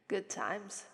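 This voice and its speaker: A woman with an Italian accent voicing a shy, affectionate young demon princess bride in an ASMR roleplay. She speaks gently and softly, often drops to a whisper, and falters and restarts when she is nervous. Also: medium pitch, vocal fry, husky, dry recording, clean studio sound, heavily acted. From Italy. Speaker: voice actress.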